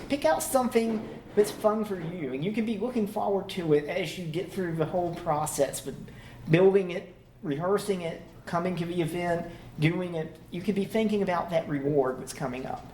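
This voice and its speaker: Relaxed man presenting in an echoey room. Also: sits high, normal speed. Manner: relaxed, conversational, laughs easily. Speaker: conference presenter. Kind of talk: conference talk to a live audience. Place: conference room. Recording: echoey room, faint background hum.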